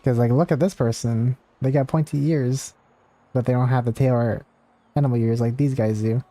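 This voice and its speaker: A man's voice: medium pitch, fairly even intonation, normal speed, dark tone. A man streaming a video game, chatting casually with viewers as he comments on what he plays. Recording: game music behind the voice. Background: video game music.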